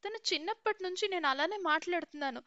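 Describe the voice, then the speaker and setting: An earnest young bride from a cartoon story, voiced by a woman. Her voice is high and pleading. The voice-over sounds dry and slightly dull. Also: fast, bright, forceful tone, dry recording. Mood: indignant.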